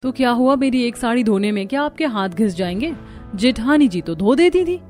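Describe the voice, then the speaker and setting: A woman voicing a young daughter-in-law in an animated family moral story, with a theatrical delivery. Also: a little high, normal speed, faint music behind, lightly acted. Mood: haughty, annoyed.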